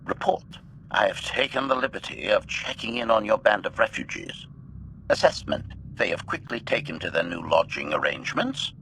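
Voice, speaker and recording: A man's voice: fairly low pitch, animated, normal speed, a bit bright, a little hiss or hum. A man voicing a servile yet contemptuous assassin droid in a video game, formal and clipped, with a robotic filter. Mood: dry, menacing. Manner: announces statements with one-word labels, formal and precise.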